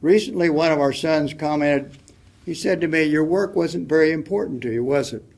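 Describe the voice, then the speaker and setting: Soft-spoken older man, reflective. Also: on the high side, normal speed, creaky. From United States. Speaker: panelist. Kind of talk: reading a prepared letter at a public forum. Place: public forum hall.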